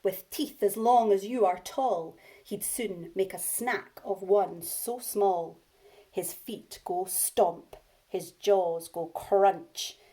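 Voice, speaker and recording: A woman voicing a mother dinosaur in a storybook, gravely warning her small child with heavy emphasis. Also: mid-range voice, slow, dark tone, natural voice. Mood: ominous warning.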